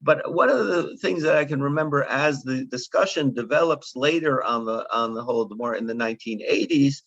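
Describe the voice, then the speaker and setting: A man in his seventies giving closing remarks at a conference, speaking in a measured, digressive way with frequent fillers and restarts. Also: mid-range voice, fairly bright tone, no room sound. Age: 70s. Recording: dull lo-fi sound, dry.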